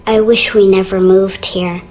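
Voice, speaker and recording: A woman's voice: mid-range voice, little pitch movement, measured pace, darkish tone, natural voice. A woman voicing a frightened, earnest young girl in a video game, childlike and confiding. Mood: sad, scared. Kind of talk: video game character dialogue.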